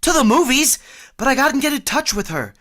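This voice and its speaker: A man's voice: very high voice, very animated, a little fast, pushed and bright, heavily acted. An actor playing a boastful, easily flustered working-class man who blusters and stammers when he gets nervous, in vintage radio comedy style.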